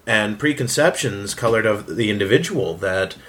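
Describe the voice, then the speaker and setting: A man's voice: lowish voice, lively intonation, normal speed, a bit bright. A man giving his opinions in a casual, analytical group conversation. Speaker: voice actor on a round-table.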